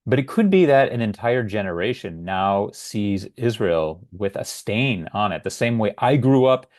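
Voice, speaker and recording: A man's voice: on the low side, expressive, normal speed, a little mellow, no room sound, clean studio sound. A middle-aged American man hosting his own podcast, talking through a solo episode in an earnest, conversational way with a few filler words. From United States. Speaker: podcast host.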